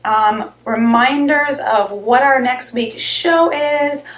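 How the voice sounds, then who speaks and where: A woman hosting a live health show, chatting casually and rambling a little, with a slightly hoarse, raspy voice. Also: on the high side, slow, a bit bright. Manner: chatty, rambling, greets viewers by name. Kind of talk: casual live-stream chat. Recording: echoey room.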